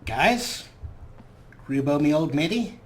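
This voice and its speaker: A man's voice: a little high, expressive, takes it slowly, slight background noise. A man giving casual, playful, improvised commentary as he plays a video game, often talking to the characters. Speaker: let's play host. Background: video game ambience.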